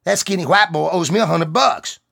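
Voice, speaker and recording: Brief: man putting on a huge, hot-tempered young soldier, shouting in a raised, strained pitch, threatening and loud. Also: moderate pace, creaky, dry recording, studio quality, heavily acted. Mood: menacing. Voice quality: loud, bullying. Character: enraged hulking young male army private.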